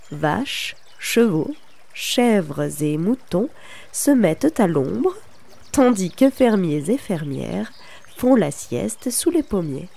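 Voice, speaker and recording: A woman reads a children's tale aloud at an unhurried pace. Her voice has a gravelly, creaky edge, and she tells the story in a calm, deliberate manner. The sound is close and dry. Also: mid-range voice, no echo. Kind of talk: scripted narration of a children's tale.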